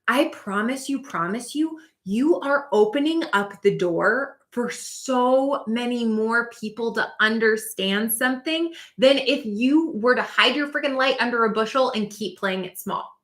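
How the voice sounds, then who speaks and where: A woman's voice: fairly high pitch, unhurried, few pauses, fairly bright tone. A woman coaching casually on a livestream, chatty and upbeat, with lots of "like". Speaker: spiritual business coach. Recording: roomy echo.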